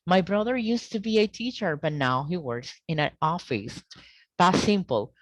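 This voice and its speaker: A woman teaching an English grammar lesson over a video call, explaining slowly and checking in with "okay?" often. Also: lowish voice, expressive, dry recording, low-quality recording. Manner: slow, deliberate explaining with frequent "okay?" checks.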